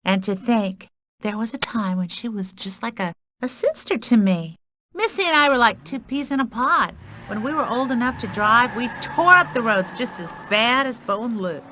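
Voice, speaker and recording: Woman playing a spirited Southern country gal, high lively voice. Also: moderate pace, background noise, close to an everyday voice. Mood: regretful, recounting. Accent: American Southern. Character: spirited young country woman, loyal and earnest.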